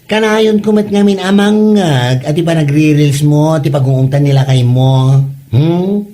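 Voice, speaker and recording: A woman's voice: low voice, unhurried, mellow. A woman acting a nosy, gossiping neighbour with a prying, needling manner in a comedy drama. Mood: prying, teasing.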